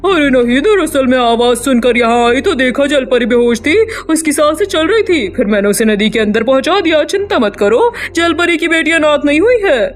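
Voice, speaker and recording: A woman's voice: high-pitched, lively intonation, normal speed, few pauses, faint music behind. A woman voicing a commanding, protective witch with supernatural powers in a cartoon story, speaking in a declamatory way. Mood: reassuring. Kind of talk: Hindi cartoon story dubbing.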